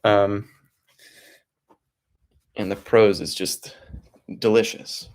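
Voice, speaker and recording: A young man hosting a relaxed livestream from his home desk, talking softly in a calm, rambling way with many restarts. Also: fairly low pitch, drawn-out words. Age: young adult. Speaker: livestream host.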